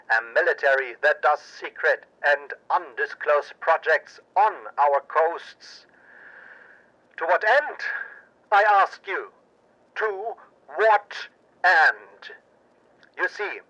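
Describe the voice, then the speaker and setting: A man's voice: a little high, sharp tone, highs cut off, full character voice. A man playing an earnest, paranoid radio news anchor, narrating pulp drama in a dramatic, portentous manner.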